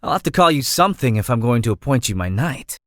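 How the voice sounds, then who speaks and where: A man's voice: mid-range voice, big pitch swings, fast, fairly bright tone, no room sound, clean studio sound. An actor playing a chatty, idealistic young nobleman in a video game, breezy and theatrical in manner. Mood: friendly, persuasive.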